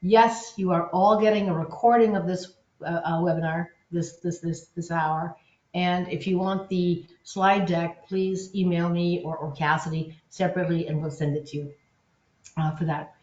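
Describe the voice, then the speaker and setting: A woman's voice: on the low side, fairly even intonation, moderate pace, a little mellow, echoey room, highs cut off. A woman presenting a live webinar in an upbeat, enthusiastic, loosely conversational way. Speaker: webinar presenter, agency content officer.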